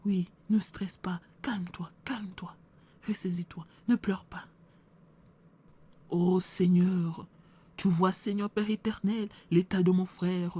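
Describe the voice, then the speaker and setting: A slow-speaking, devout male pastor with a husky voice, played by a woman. He prays and reassures others in a soft, mellow tone, unhurried and earnest. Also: lowish voice, fairly even intonation. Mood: soothing. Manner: soothing, slow, earnest praying. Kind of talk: church skit acting.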